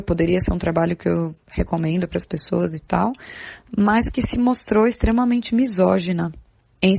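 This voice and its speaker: A woman's voice: fairly low pitch, creaky, warm tone, no echo, dull audio. A Brazilian woman speaking informally and earnestly as a podcast guest, with emphatic, conversational delivery. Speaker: podcast guest, theatre artist and art educator. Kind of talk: informal spoken recommendation.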